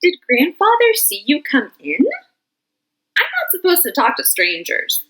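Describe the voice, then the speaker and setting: A woman voicing an angry, upset young boy in a storybook, shouting and pleading. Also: high-pitched, huge pitch swings, a little slow, pitched above the performer's own voice, exaggerated voice. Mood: worried.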